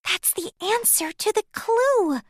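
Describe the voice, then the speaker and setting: A woman voicing a sweet, eager young princess in a storybook, gentle, gushing and expressive. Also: very high-pitched, slow, no room sound, clean studio sound, pitched above the performer's own voice, full character voice. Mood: excited.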